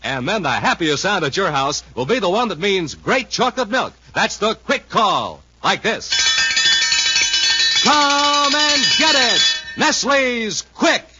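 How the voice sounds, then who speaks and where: A man announcing and pitching as on 1950s radio, with punchy, exuberant salesman delivery. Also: expressive, slow, very noisy. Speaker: announcer and narrator. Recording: muffled, roomy 1950s radio recording.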